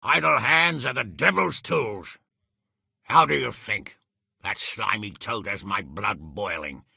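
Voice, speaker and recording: A hot-tempered medieval lord and commander from a video game. His voice is very forceful and hard-edged, gravelly and husky, with blunt, blustering delivery. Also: fairly low pitch, lively intonation, slow pace, dry recording, clean studio sound, full character voice. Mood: angry. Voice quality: raspy, gravelly. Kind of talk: dramatic video game voice acting.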